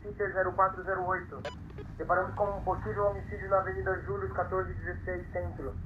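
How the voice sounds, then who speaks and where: An actor playing an urgent police officer calling over a radio in an audio drama. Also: male voice, high voice, flat delivery, fast. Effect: police radio filter. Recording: tinny, noisy police radio line.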